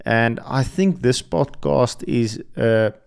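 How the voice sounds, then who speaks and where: A man's voice: mid-range voice, slow pace, creaky, smooth. A young adult man whose first language is Afrikaans, hosting a podcast and reflecting alone in a hesitant way with many restarts. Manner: hesitant, with frequent restarts and fillers. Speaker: podcast host. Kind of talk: solo reflective monologue.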